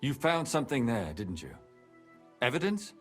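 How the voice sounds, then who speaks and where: A man's voice: mid-range voice, wildly swooping pitch, moderate pace, soft background music. A man voicing a sceptical, blunt medieval investigator in a video game cutscene, questioning everything. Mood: eager.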